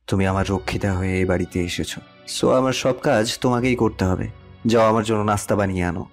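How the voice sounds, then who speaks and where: A man's voice: lowish voice, a little fast, natural voice. A man playing a cold, domineering rich man who bullies his mistress, speaking in curt commands in a romantic audio story. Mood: stern, commanding. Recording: music under the voice.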